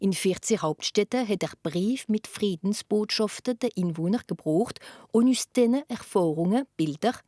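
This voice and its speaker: A woman, reading a scripted TV report voice-over in an even manner. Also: mid-range voice, moderate pace, dry recording, clean studio sound. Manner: even, read-aloud delivery. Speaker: news reporter. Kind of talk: scripted narration.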